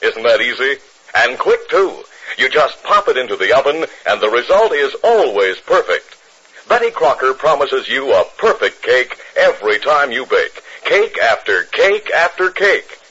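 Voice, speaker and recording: A man's voice: mid-range voice, very animated, unhurried, bright, forceful tone, slightly rough. An American man announcing and narrating in a polished, dramatic 1950s radio style. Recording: very noisy, muffled 1950s radio recording.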